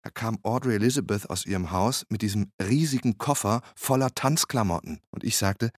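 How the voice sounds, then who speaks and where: A man's voice: lowish voice, brisk, no room sound, studio quality, natural voice. An actor playing a male hurricane survivor and photographer who recounts his rescue as a plain first-person radio drama monologue. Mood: recounting, weary.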